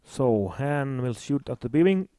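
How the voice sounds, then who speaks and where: A man's voice: mid-range voice, expressive, slow, noisy background. A man with an Icelandic accent giving match commentary on a tabletop miniatures game.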